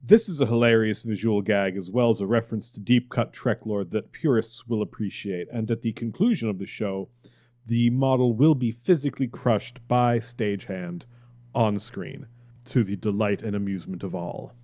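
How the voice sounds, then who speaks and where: A man's voice: fairly low pitch, normal speed, mellow, dry recording. A man reading stage directions as scripted narration, on a dull recording. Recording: dull, lo-fi sound.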